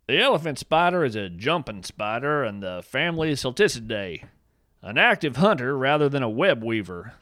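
A man tells a strange nature story in a scripted, playful way, with a wry edge to it. His pitch moves freely and with plenty of life. The recording is dry and close, with no room sound. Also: a bit bright, no room sound. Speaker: podcast narrator. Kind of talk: scripted whimsical nature narration.

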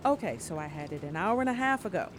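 A woman's voice: fairly low pitch, lively intonation, fast, noisy background, close to an everyday voice. A woman playing an exasperated daughter in an audio drama, with a sarcastic, blunt, casual way of talking. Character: exasperated, sarcastic daughter living in an old house. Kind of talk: naturalistic audio drama acting.

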